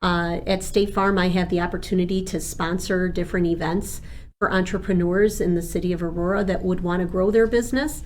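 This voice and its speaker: A woman's voice: lowish voice, fairly even intonation, brisk, a little mellow, a little hiss or hum. A woman from Argentina who grew up speaking Spanish, explaining things as an interview guest in a chatty, earnest, conversational way. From born in Argentina. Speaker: insurance agent, interview guest.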